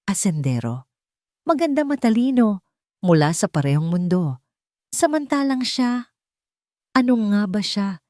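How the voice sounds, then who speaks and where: A woman's voice: fairly low pitch, darkish tone, dry recording, clean studio sound. A woman reading a scripted dramatic story as a storyteller.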